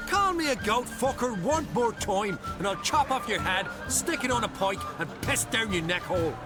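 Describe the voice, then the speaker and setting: A crude brawling chieftain, high lively voice, taunting, loud score. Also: male voice, moderate pace. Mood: threatening. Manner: coarse, taunting, swaggering. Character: crude, brawling male island chieftain, hot-tempered. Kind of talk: video game cutscene acting. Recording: game cutscene with loud score. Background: loud orchestral music.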